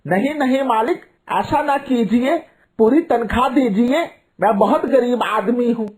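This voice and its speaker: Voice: mid-range voice, measured pace, darkish tone, close to an everyday voice. Someone acting a meek, begging poor worker. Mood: pleading. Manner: deferential, begging. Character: poor, meek, pleading male shop worker Bholu.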